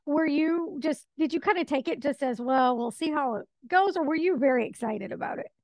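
High-voiced woman hosting an interview. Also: brisk, darkish tone, no room sound. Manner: friendly, asks long open questions. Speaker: podcast host.

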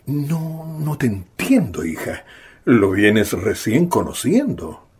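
A man's voice: mid-range voice, big pitch swings, takes it slowly, darkish tone, slightly rough, exaggerated voice. An actor playing a trusting, reasonable middle-aged father in a radio drama. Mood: puzzled, conceding.

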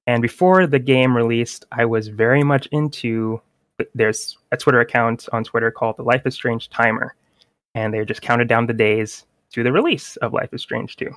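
A man's voice: mid-range voice, moderate pace, fairly bright tone, dry recording. A man co-hosting a gaming podcast, chatting casually and reading news aloud with small stumbles.

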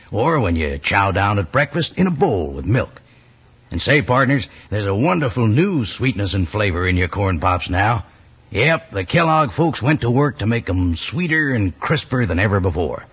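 An actor playing a folksy, chummy cowboy pitchman with a husky voice in a 1950s radio advertisement. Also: a bit bright, heavily acted. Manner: folksy, emphatic, hard-selling. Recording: dull old radio recording.

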